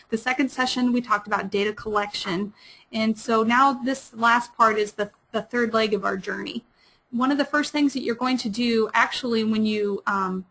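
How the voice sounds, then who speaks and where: A woman with a Midwestern accent presents a webinar, speaking quickly and stopping often on fillers and restarts. Her voice sits slightly high. She sounds like a librarian walking an audience through her slides. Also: fairly bright tone, low-quality recording. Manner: fast-talking, many fillers and restarts. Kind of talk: webinar lecture.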